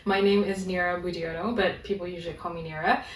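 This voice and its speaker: A woman's voice: fairly low pitch, steady tone, fast talker, distant mic. Chatty young woman from Indonesia, roomy sound. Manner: chatty, laughs easily, many fillers. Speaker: guest, online sex educator. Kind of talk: casual conversational interview.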